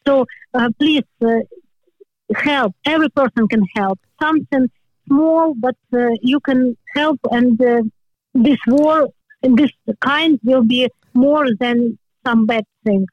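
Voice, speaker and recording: A woman with a Ukrainian accent, a guest speaking careful, halting English with many pauses. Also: sits high, no echo, highs cut off. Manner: halting non-native English with frequent "uh" pauses. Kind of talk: interview over a call.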